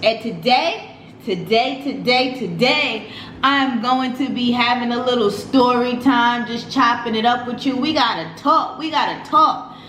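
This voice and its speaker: A woman's voice: on the high side, measured pace, a bit bright, reverberant, a little hiss or hum. A woman, chatting casually in a story-time vlog, slangy and repeating her phrases. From Brooklyn, New York City. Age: 30s. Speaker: vlogger.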